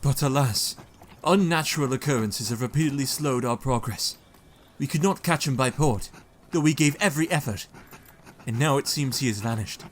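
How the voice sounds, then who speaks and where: A man's voice: medium pitch, normal speed, a little hiss or hum, close to an everyday voice. An actor playing an anxious young male hero who narrates his journal in a brooding tone in an audio drama.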